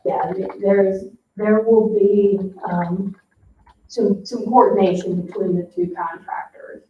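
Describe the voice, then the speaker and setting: A woman staff member explaining details at a public meeting, with a measured, halting delivery. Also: mid-range voice, steady tone. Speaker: staff member briefing a board. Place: indoor town board meeting room. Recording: roomy, distant, muffled meeting-room microphone with noise.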